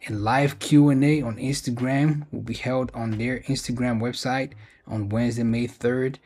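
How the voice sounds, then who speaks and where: A man's voice: medium pitch, fairly even intonation, normal speed, a little mellow. A man hosting an informal explainer video, reading through a web page in a conversational way and stressing key points. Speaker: video host sharing job openings.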